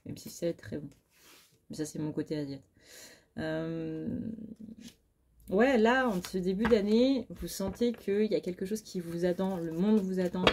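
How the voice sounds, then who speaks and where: A woman's voice: fairly low pitch, measured pace, background noise. A very tired woman giving a casual, chatty tarot reading, speaking informally and bluntly with mild swearing. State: very tired, short of sleep. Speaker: tarot card reader.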